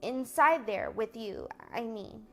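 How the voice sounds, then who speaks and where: A woman's voice: fairly high pitch, expressive, takes it slowly, vocal fry, faint music behind, heavily acted. A woman reading as a tearful pleading boy. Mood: anxious. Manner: pleading, fighting back tears. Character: young teenage boy, earnest and tearful.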